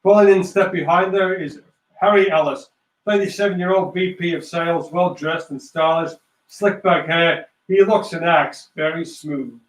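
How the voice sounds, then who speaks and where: Man, scripted narration, high voice, echoey room, stage-direction reader. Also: moderate pace. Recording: echoey room, distant mic.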